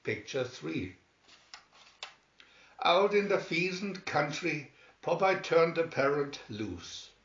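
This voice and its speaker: Slow, animated male storybook narrator. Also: fairly high pitch, roomy sound, highs cut off. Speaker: storybook reader. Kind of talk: scripted children's story narration.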